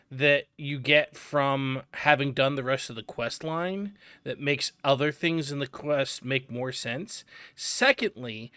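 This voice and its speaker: A man's voice: mid-range voice, bright, forceful tone, dry recording. A man hosting a casual let's-play, explaining in a chatty way with fillers and asides. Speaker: game channel host.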